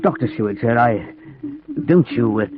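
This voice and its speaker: An actor playing a blunt but deferential asylum attendant in a vintage radio drama. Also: male voice, medium pitch, animated, moderate pace, lightly acted. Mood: hesitant, deferential. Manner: folksy, hesitant. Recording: phone-like old radio, crowd noise behind.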